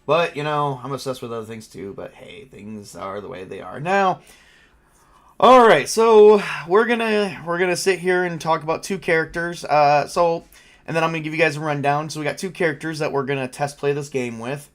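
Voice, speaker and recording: A man's voice: on the high side, normal speed. A man hosting a tabletop game livestream as game master, chatting informally in a rambling, mildly crude way. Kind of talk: casual livestream chat.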